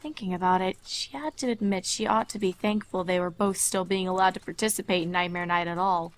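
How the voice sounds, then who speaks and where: A woman's voice: fairly low pitch, steady tone, a little fast, rough recording. A woman reading fan fiction aloud as a scripted story narrator.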